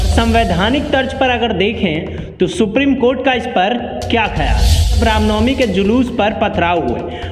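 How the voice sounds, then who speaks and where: A man presenting a scripted news explainer, narrating and commenting to the viewer. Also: sits high. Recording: echoey, reverberant room.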